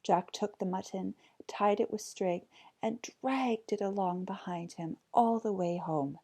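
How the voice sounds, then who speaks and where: A woman reading a folk tale aloud as an expressive storyteller. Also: medium pitch, a little slow.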